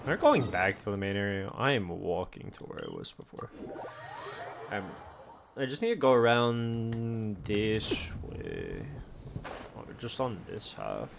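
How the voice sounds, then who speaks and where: A man's voice: lowish voice, huge pitch swings, a little slow, vocal fry, background noise. A man giving casual gameplay commentary, rambling and thinking aloud as he plays. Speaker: game streamer. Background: video game music.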